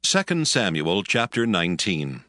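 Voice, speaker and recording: A male narrator reading scripture in a steady, grave storytelling manner for a dramatized production. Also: deep voice, measured pace, dry recording. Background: music.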